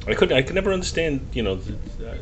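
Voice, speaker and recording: A man's voice: fairly high pitch, very animated, fast, muffled recording, slight background noise. A man hosting a podcast, chatting casually, teasing and laughing with restarts. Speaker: podcast host. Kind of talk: casual chat.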